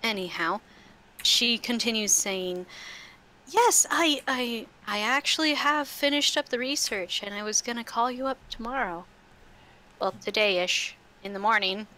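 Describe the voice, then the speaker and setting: A woman's voice: a little high, a little slow, bright, forceful tone. A woman acting as game master, narrating and improvising lines in a tabletop roleplaying game.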